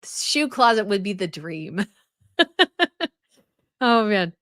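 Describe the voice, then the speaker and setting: A woman's voice: medium pitch, lively intonation, slow pace, a bit bright, no echo, clean studio sound. Chatty woman hosting a live stream. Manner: chatty, many fillers and restarts. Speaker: host of a royal news live stream. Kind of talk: casual live-stream chat reading viewer comments.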